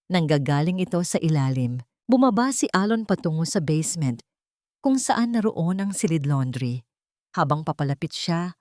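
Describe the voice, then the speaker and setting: A woman's voice: lowish voice, a little mellow, no room sound, studio quality. A woman narrating a scripted story in a dramatic audio drama style.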